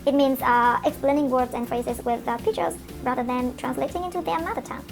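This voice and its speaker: A young adult woman with a Vietnamese accent, whose first language is Vietnamese, hosting a solo podcast lesson as an English coach. She talks earnestly and encouragingly, often pausing on fillers. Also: high-pitched, gravelly. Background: faint background music.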